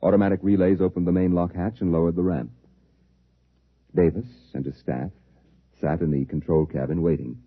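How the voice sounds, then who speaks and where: An actor playing a solemn storyteller who narrates a 1950s science fiction radio drama. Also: male voice, low voice, fairly even intonation, moderate pace, long pauses, slightly rough, dry recording, narrow, tinny audio. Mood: solemn, suspenseful. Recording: thin vintage radio recording with music.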